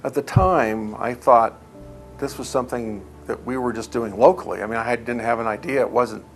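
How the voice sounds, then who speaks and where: A man's voice: mid-range voice, normal speed, faint music behind. A man recalling past events in a documentary interview, telling anecdotes and quoting remembered conversations.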